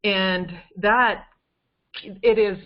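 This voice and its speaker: A woman's voice: mid-range voice, fairly even intonation, very slow, dull audio. A woman on a conference call explaining details conversationally, with an emphatic, insistent manner. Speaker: guest on a case-discussion call.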